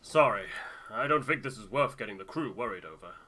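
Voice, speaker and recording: A man puts on a stubborn, hearty ship's navigator from a survival game. The delivery is emphatic and blustering, with a very bright, forceful edge. He sounds like a proud man of middle age. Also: on the low side, animated, a little fast.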